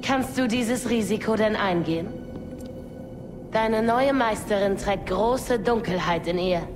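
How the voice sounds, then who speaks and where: A woman playing a menacing, bitter female dark-side inquisitor in an audio drama, with a taunting, deliberate delivery. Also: medium pitch, music in the background, lightly acted.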